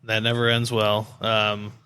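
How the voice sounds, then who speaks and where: A man's voice: lowish voice, completely flat delivery, measured pace, bright, forceful tone, smooth, no echo. A man in his thirties with an American accent co-hosting a podcast with slangy, joking banter. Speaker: podcast co-host. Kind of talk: casual banter.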